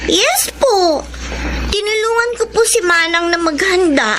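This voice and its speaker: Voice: very high voice, expressive, a little slow, bright, forceful tone, slight background noise, exaggerated voice. A performer in a radio drama playing a sweet, earnest young daughter who longs for a mother. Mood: sweet, eager.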